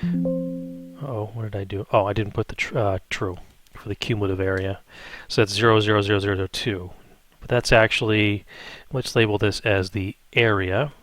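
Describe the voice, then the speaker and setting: A man's voice: fairly low pitch, steady tone, moderate pace, a bit bright, dry recording, soft background music. A man working through a math lesson as an instructor, thinking aloud in an improvised way and often checking in with short questioning tags. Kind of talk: improvised step-by-step spreadsheet tutorial.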